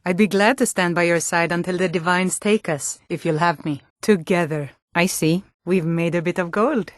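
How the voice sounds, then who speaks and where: An actress playing a sturdy, earnest Nord woman in a fantasy video game, with clear, deliberate delivery. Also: lowish voice, no echo, clean studio sound, close to an everyday voice. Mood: affectionate.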